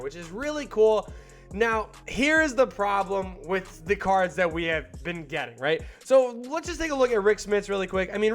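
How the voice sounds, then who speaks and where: High-voiced hyped young gamer guy. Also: a bit bright. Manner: hyped, slangy and rambling, with filler words. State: still a little stuffed up from a cold. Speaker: gaming YouTuber. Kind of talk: casual gameplay commentary.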